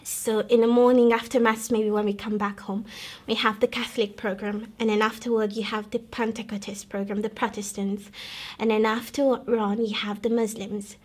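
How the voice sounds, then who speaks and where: Flat-toned, earnest woman from Congo. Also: medium pitch, breathy edge. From Democratic Republic of Congo. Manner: earnest, measured, with frequent pauses and restarts. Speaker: guest telling her conversion story. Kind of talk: personal testimony, recalled storytelling.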